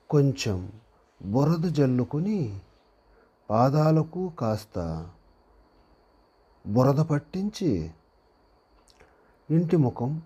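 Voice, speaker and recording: A man reading a moral story aloud as a narrator, deliberately, in short phrases with pauses, as if for dictation. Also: medium pitch, mellow, breathy edge.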